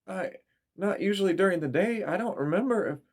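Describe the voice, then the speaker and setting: A man's voice: high-pitched, animated, brisk, pitched above the performer's own voice. A man voicing a chatty, fussing middle-aged mother full of questions in a story reading. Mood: flustered.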